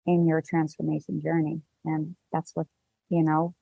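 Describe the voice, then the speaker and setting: Woman guest, Hebrew speaker, purposeful and explanatory; low, mellow voice, dry sound. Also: monotone, moderate pace, vocal fry, breathy edge, dry recording, dull audio. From Israel. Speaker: guest, business co-founder. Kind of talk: conversational interview.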